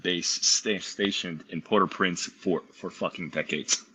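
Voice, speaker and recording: A man's voice: sits low, steady tone, a little slow, bright, forceful tone, a little hiss or hum, poor audio. A man chatting casually as a participant in a group listening party. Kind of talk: casual chat.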